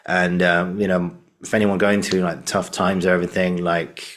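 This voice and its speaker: Very deep-voiced man hosting a chat. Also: flat delivery, a bit bright. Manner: rambling, halting, repeats words. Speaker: podcast host, interviewer. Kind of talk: casual supportive interview chat.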